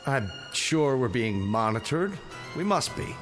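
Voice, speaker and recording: Skeptical, lively-toned district attorney. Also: male voice, mid-range voice, faint music behind. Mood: skeptical, firm. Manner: measured, argumentative, lawyerly. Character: skeptical male district attorney, rational, stubborn, exasperated. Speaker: actor. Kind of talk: radio drama acting.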